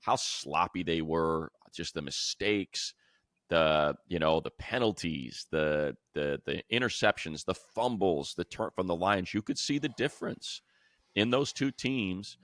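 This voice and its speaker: An American man chatting casually about sports as a co-host, telling slangy, animated stories. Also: deep voice, measured pace, no room sound, studio quality. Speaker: sports radio play-by-play announcer and podcast co-host.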